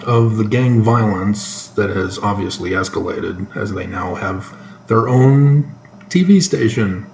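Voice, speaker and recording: A man with a North American accent giving informal, sarcastic news commentary, halting often mid-sentence. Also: fairly low pitch, animated, gravelly, a little mellow. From North America, moved around the United States and Canada. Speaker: solo commentator. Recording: echoey room with faint background noise.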